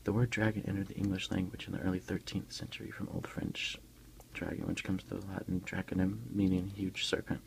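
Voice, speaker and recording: A man softly whispering an informal, unhurried ASMR unboxing in a breathy voice. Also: low-pitched, little pitch movement, rough recording. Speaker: ASMR video creator. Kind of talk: ASMR unboxing, softly narrated show-and-tell.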